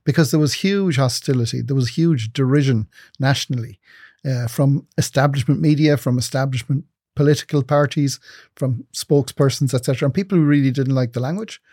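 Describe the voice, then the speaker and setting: A man in his fifties, originally from England, answering interview questions in an articulate, measured way. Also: medium pitch, warm tone, husky, no room sound, studio quality. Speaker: broadcasting executive guest.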